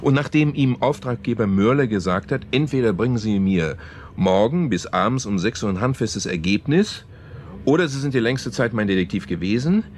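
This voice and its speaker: An actor playing a man who earnestly and haltingly retells a story, in a naturalistic German radio play. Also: mid-range voice, animated, a little hiss or hum, close to an everyday voice. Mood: absorbed storytelling. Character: man haltingly retelling a novel to a sceptical woman.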